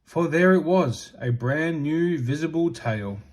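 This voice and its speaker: A man narrates a storybook for children. His fairly high voice moves expressively up and down, and he takes his time over each phrase. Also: animated, measured pace, darkish tone. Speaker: storybook reader.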